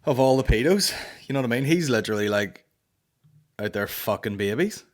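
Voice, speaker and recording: A man's voice: medium pitch, expressive, a little fast. A man in his early thirties with a Northern Irish accent, hosting a comedy podcast with blunt, sweary casual chat into a close studio mic. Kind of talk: casual comic chat, answering listener questions. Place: small indoor podcast studio. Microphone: close studio microphone on boom arm.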